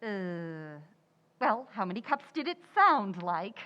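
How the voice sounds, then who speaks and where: A woman's voice: medium pitch, sing-song, slow pace. A woman playing a stubborn, overconfident housewife who cannot cook, in a theatrical old-time radio sitcom style. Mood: breezy bluffing. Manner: theatrical, breezy, bluffing. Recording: echoey hall, dull muffled sound.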